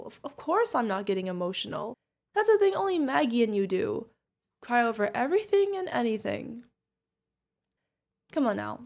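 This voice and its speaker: A woman's voice: on the high side, darkish tone. A woman playing a protective older witch mentor, fond, teasing and stern, in an ASMR fantasy roleplay. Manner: affectionate teasing, mock-exasperated. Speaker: voice actor.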